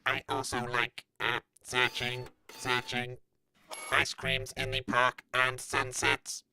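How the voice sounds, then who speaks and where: A man playing a bloodthirsty female android revolutionary in a comedy audio drama, robotic, deadpan and stilted. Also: medium pitch, slow pace, slight background noise.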